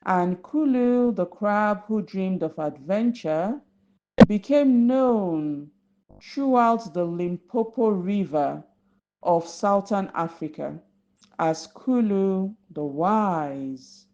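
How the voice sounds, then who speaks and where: A woman narrating a folktale for young children as a storyteller, deliberate and instructive. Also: mid-range voice, lively intonation, mellow. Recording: slightly dull lo-fi recording.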